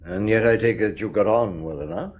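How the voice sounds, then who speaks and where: An actor playing a calm, probing police inspector in a radio drama. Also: male voice, lowish voice, a little fast, narrow, tinny audio, natural voice. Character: patient middle-aged police inspector.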